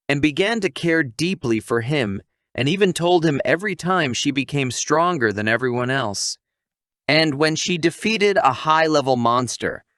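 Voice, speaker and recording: Male narrator for scripted anime recaps; lively, varied melody, dry studio-tight sound. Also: medium pitch, no echo.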